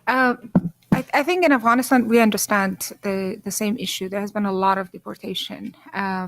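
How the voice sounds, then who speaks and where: A woman panelist talks with earnest, halting care, pausing on fillers and circling back over her words. Her voice sits in a middling register. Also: medium pitch, a bit bright. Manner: earnest, hesitant with many fillers and restarts. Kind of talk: panel discussion.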